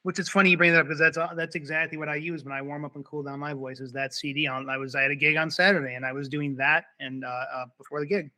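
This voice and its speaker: A man hosts a casual podcast interview in a fairly high, bright voice. He readily agrees with and affirms his guest, and the recording sounds dry and close. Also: fairly even intonation, fast, hardly any pauses, no room sound. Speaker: podcast host.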